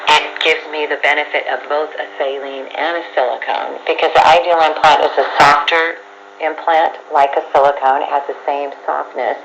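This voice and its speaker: A woman explains her own view in an interview. Her voice comes through dull shortwave reception with clear, constant noise. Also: sits low, fairly even intonation, normal speed. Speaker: patient interviewee. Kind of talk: explanatory personal testimony. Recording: muffled, noisy shortwave radio.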